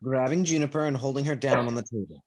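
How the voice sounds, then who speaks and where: A woman's voice: rumbling low, fast talker, a little mellow. A woman reading stage directions as scripted narration. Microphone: video call.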